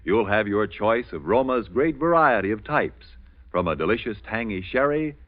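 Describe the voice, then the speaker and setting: Deep-voiced American announcer on tinny old radio. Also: male voice, lively intonation, moderate pace. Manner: polished, persuasive. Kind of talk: scripted 1940s radio commercial.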